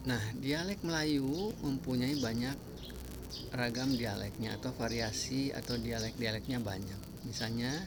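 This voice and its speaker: A man lectures in a calm, measured way, pausing between items as he lists examples. His voice sits at an ordinary middle pitch. Clear background noise runs under the recording, with birds chirping. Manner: measured, listing examples one by one with pauses. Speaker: language teacher. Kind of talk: spoken lecture on language varieties.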